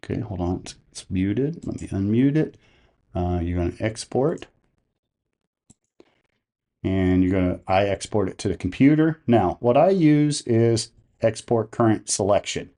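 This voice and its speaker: Mellow man giving step-by-step instructions. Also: on the low side, lively intonation, measured pace. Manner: methodical, plain-spoken instruction. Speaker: tutorial presenter. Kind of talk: step-by-step software tutorial narration.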